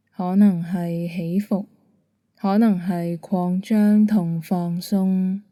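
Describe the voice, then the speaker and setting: A woman leads a bedtime meditation with a Hong Kong Cantonese accent. Her voice is slightly low and very mellow, recorded close and dry. She gives each instruction gently and calmly. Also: dry recording. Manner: calm, soothing, gentle instruction. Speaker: meditation guide. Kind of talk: guided bedtime meditation narration.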